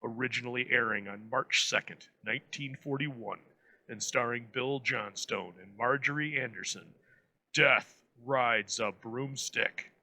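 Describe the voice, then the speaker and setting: A man's voice: mid-range voice, unhurried, crowd noise, poor audio. A man presenting an episode in scripted narration with a dramatic, florid storyteller's delivery.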